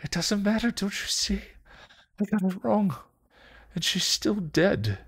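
An anguished, insolent vampire from a storybook, as a male narrator puts him on. The voice jumps well above a low register, loud and frantic, full of self-loathing, pleading and spitting slang. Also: lively intonation, unhurried, breathy edge, heavily acted. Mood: distraught, insistent.

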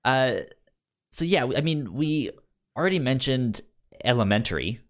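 A man's voice: medium pitch, lively intonation, a little slow. A man co-hosting a podcast, chatting casually as he gives recommendations, often stammering over word starts. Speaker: podcast co-host. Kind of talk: casual chat, giving recommendations.

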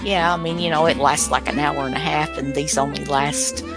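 A woman with a low voice talks casually and informally. Guitar music plays clearly behind her as she chats. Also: steady tone, normal speed. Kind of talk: casual chat.

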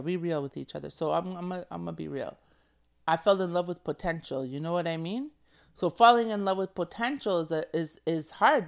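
A woman touched by speech hosts a podcast and talks in an earnest, emotional way, often repeating phrases to press a point. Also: lowish voice. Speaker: podcast host. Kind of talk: casual heartfelt monologue.

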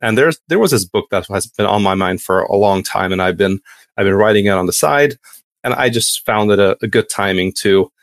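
Guy from hungary chatting as a podcast guest, lowish voice, really dry room, keeps restarting sentences. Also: lively intonation, fairly quick, no echo. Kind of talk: casual conversational storytelling.

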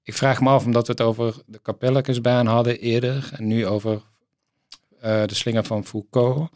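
A man's voice: mid-range voice, steady tone, moderate pace, a little mellow, no room sound, clean studio sound. A man hosting a casual book interview, putting his questions in a tentative, rambling way. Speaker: interviewer, host.

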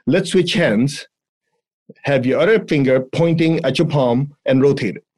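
A man with a Chinese accent teaching energy exercises in a podcast chat, playful and quick to laugh between calm instructions. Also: mid-range voice. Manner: playful, laughs often, breaks into giggles.

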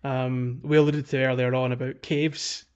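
A man with a medium-pitched voice talks casually as a podcast host. He explains and chats in an informal gaming-podcast style. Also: flat delivery, normal speed, smooth, dull audio.

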